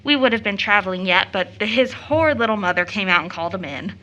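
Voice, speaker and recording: A woman's voice: medium pitch, quick pace, bright, forceful tone, dull audio, close to an everyday voice. A young American woman acting a playful, affectionate, impulsive daughter in a period audio drama, with effusive, teasing, girlish delivery. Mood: excited, teasing.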